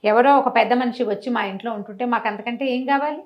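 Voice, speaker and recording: A woman storyteller speaks very quickly in a fairly high voice. She tells a moral tale like a conversation, slipping into dialogue and turning to the listener to drive the lesson home. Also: lo-fi sound. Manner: animated, didactic, acts out dialogue with rhetorical questions.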